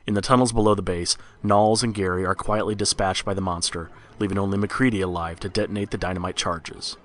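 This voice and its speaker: A man's voice: deep voice, steady tone, fast, fairly bright tone, a little hiss or hum. A man narrating a scripted horror story in the style of a read-along storybook record.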